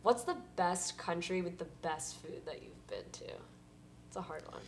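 A woman's voice: fairly low pitch, lively intonation, normal speed, slight background noise. A young woman from Egypt hosting a casual chat, agreeable and encouraging, in an echoey room.

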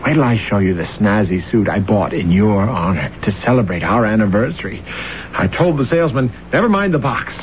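An actor playing a boastful, self-deluded husband who talks with brash bluster, in a radio drama. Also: male voice, lowish voice, expressive, fairly quick, lightly acted. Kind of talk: 1970s radio drama acting. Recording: tinny old radio broadcast with faint hiss.

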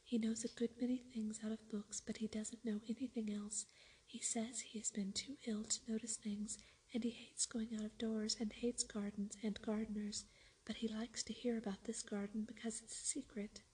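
A woman's voice: on the high side, little pitch movement, normal speed, a little mellow, husky, poor audio. A woman whispering as an earnest young girl.

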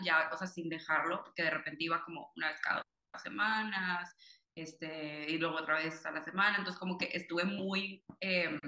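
Young woman, bright low-pitched voice, rambling casual chat, echoey room. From Cuba, raised in Monterrey, Mexico. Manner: rambling, filler-heavy, reflective. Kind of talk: casual conversation.

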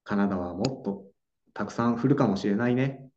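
A young man acting a calm, gentle boyfriend in a slow, clear radio drama for learners. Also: lowish voice, slight background noise. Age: young adult. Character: Naoto, young man staying in his hometown. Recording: dull lo-fi audio.

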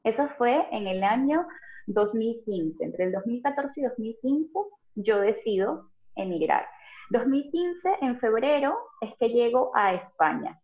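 A woman's voice: fairly high pitch, unhurried. A Venezuelan woman, a pharmacist, telling her story as a guest in a conversational interview. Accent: Venezuelan Spanish. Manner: fluent, lively storytelling with laughs. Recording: narrow phone-like video call audio.

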